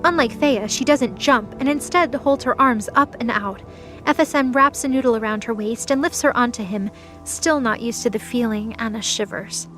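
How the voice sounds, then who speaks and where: A woman's voice: on the high side, moderate pace, a bit bright, faint music behind. A woman narrating a scripted light novel audio drama.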